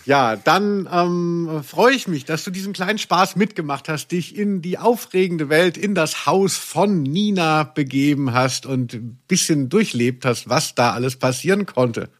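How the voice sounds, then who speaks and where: A man's voice: on the high side, unhurried, few pauses. A man co-hosting a podcast, playing quizmaster in casual, teasing banter with a mock game-show delivery.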